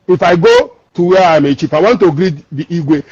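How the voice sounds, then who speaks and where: A man's voice: fairly high pitch, brisk, a little mellow, husky, no room sound, dull audio. A man from Lagos with a Nigerian accent, calling into a discussion show and explaining emphatically, repeating and restarting his words. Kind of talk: call-in discussion.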